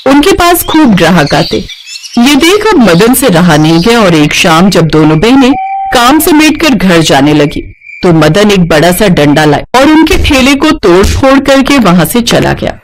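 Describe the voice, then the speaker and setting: Animated woman storyteller. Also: medium pitch, unhurried, a little hiss or hum, poor audio. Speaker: story narrator. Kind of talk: scripted storytelling narration for a children's cartoon.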